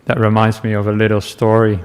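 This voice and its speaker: A man from the Netherlands with a Dutch accent, a meditation teacher giving a relaxed talk to a class, telling stories with asides and small laughs. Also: fairly low pitch, brisk, smooth. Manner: gentle, chatty storytelling with asides and small laughs.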